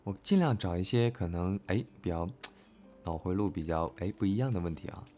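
A male voice actor talking casually and reflectively about his work, earnest and halting, often repeating his phrases. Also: sits low, warm tone, soft background music. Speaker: voice actor discussing an audio drama. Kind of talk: casual, reflective cast chat.

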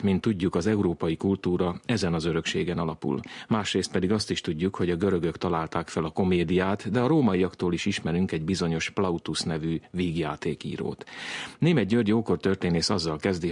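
A male radio science host narrating a scripted segment in a measured, explanatory way. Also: deep voice, darkish tone, husky, no room sound.

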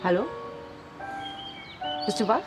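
A woman's voice: slow pace, music in the background, natural voice. An actress playing a friendly, helpful woman in a radio drama, direct and informal. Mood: friendly, curious. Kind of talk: naturalistic radio drama acting.

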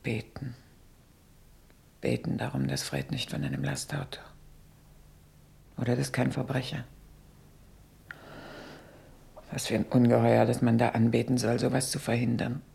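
Verzweifelte, aufgewühlte Mutter im Hörspiel. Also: female voice, a bass voice, level tone, vocal fry, mellow, heavily acted. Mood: bitter, brooding. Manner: halting, agitated, breaking into whispers. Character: distraught middle-class mother, anxious, reproachful, despairing. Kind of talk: German radio play acting.

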